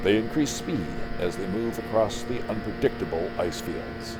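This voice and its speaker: A man's voice: on the low side, animated, moderate pace, very noisy. An older man from Canada reading a comic book aloud as narrator, in a dramatic scripted storytelling style.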